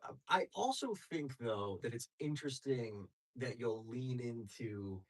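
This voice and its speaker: Husky, animated comedian in podcast chat. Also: male voice, mid-range voice, measured pace. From Greek family. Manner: animated, bursts into voices. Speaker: comedian guest.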